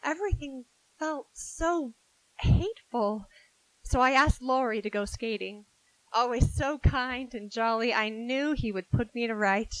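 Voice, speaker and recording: A woman's voice: on the high side, a little slow, a bit bright, no room sound, poor audio. A woman playing a hot-tempered, bossy teenage sister in a 1940s-style radio drama, theatrical and emphatic. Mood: narrating, reflective. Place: live theater stage.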